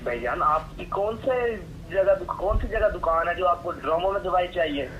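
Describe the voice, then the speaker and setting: A man's voice: sits high, lively intonation, normal speed. Exasperated Pakistani man on a noisy phone line. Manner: exasperated, sarcastic. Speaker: medicine supplier taking a phone order. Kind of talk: phone conversation.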